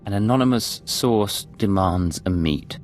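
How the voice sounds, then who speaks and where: An actor playing a cool, professional undercover agent in a video game, curt and controlled. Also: male voice, on the low side, unhurried, slight background noise, natural voice. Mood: guarded, professional.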